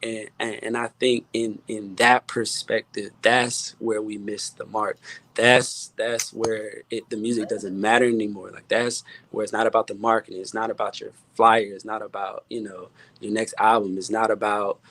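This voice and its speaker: A man's voice: lowish voice, flat delivery, normal speed, a bit bright, no room sound. A man, a music producer and guest, talking in an impassioned, preaching style, earnest and emphatic, often repeating his phrases for weight. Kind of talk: impassioned, preaching-like panel chat.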